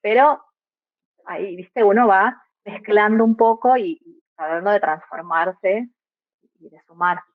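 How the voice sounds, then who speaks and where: A woman's voice: medium pitch, unhurried, dry recording, phone-quality sound. A young adult woman from Argentina with an Argentine Spanish accent, a guest who speaks reflectively and keeps adding little tag questions. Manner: thoughtful, often adds tag questions. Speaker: guest, migrant mother. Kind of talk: reflective podcast conversation.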